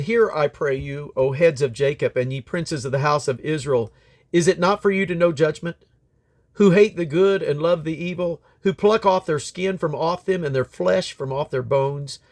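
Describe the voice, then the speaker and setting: A man reading aloud from the Bible as a scripture reader, sometimes halting and correcting himself on difficult words. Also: a little high. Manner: halting over difficult names, stumbles and self-corrects.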